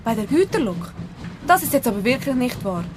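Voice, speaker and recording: Defiant young woman, high voice. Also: big pitch swings, a little fast, noisy background. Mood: disbelieving, hurt. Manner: indignant, stubbornly repeating accusations. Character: defiant, indignant young woman confronting jealous boyfriend. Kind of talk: German radio drama dialogue.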